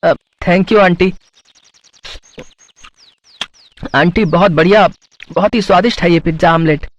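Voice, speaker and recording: A man's voice: high voice, normal speed, highs cut off, a little hiss or hum, close to an everyday voice. A man playing an earnest, respectful young street vendor in a cartoon story. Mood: appreciative. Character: humbled young male street-food seller, apologetic. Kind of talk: Hindi moral-story cartoon voice acting.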